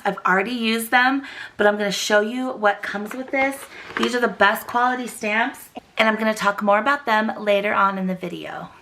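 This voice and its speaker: A young woman hosting a craft channel, chatty and gushing, her voice still a little rough after a cold. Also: mid-range voice, sharp tone, slight background noise. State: recovering from a cold, voice slightly rough. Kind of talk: casual tutorial chat.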